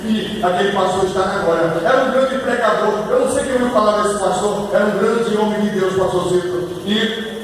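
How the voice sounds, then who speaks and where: A man's voice: high voice, a little fast. A man with a Northeastern Brazilian accent preaching a Pentecostal sermon, telling stories and repeating phrases emphatically. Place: large church hall, faint ambient noise behind. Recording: echoey church hall, distant mic, faint noise.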